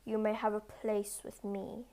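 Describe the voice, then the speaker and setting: A woman's voice: mid-range voice, completely flat delivery, measured pace, warm tone, close to an everyday voice. A woman voicing a huge, foolish, easily scared male giant in a storybook, with a growling, blustering manner. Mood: commanding.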